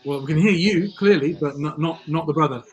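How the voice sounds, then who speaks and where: A man's voice: on the high side, a little fast, slightly rough. A man hosting a live election-night stream, hesitant with many ums, losing his voice a little. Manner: hesitant, frequent ums and restarts. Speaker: livestream host. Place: studio.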